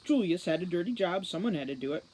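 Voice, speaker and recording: A woman's voice: on the low side, expressive, fast talker, warm tone, low-quality recording. A woman voicing a cocky, crude male dwarf thief in a children's fantasy audiobook, boastful and wry. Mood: sardonic.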